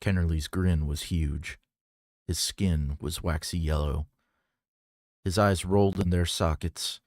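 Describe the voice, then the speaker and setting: A man reading a novel aloud in a measured, dramatic storytelling manner. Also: deep voice, steady tone, darkish tone, dry recording, clean studio sound. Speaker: audiobook reader. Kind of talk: scripted fiction narration.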